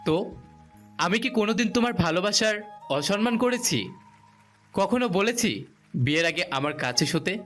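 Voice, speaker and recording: A man's voice: high voice, animated, normal speed, pauses often, fairly bright tone. A man playing a pushy, coaxing newlywed husband in a romantic audio drama. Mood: coaxing, earnest. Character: domineering, insistent young newlywed husband. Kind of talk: romantic audio story dialogue. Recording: music behind the voice.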